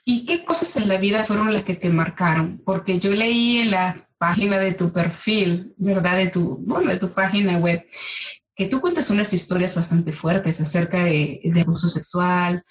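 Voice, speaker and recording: Woman podcast host, effusive and emphatic, mid pitch, slightly dull sound. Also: normal speed. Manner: warm, effusive, emphatic praise. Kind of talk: podcast interview, scripted intro.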